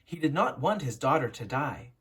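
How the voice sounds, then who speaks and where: A woman reading a children's picture book aloud as a teacher, telling the story dramatically with deliberate, emphatic pauses. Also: a bass voice, sing-song, breathy edge. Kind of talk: expressive children's storybook narration.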